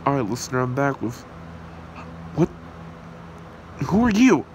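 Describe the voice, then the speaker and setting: A man's voice: medium pitch, a little slow, vocal fry. A man playing an earnest, devoted young hero boyfriend in an amateur ASMR roleplay. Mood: alarmed. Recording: steady hiss and hum behind voice.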